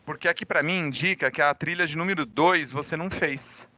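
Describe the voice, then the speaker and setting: Brazilian male teacher, forceful bright tone, dry close sound, slightly under the weather with a cold, informal and conversational with his online class. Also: fairly high pitch, fairly quick, dry recording. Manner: informal, conversational, addresses students directly. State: recovering from a cold. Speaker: high school physics teacher. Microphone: microphone.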